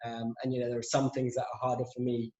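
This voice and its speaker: A man's voice: medium pitch, completely flat delivery, quick pace, darkish tone, reverberant, dull audio. A man guest in a conversational interview, talking fluently with many fillers and restarts. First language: English. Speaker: interview guest, LGBT tech group director and lawyer.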